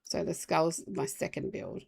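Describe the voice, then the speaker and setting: Hoarse woman streamer with a gravelly voice. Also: low voice, measured pace, darkish tone. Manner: chatty, rambling, talks straight to viewers. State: hoarse, has the flu. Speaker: game live streamer. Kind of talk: casual stream chat with viewers.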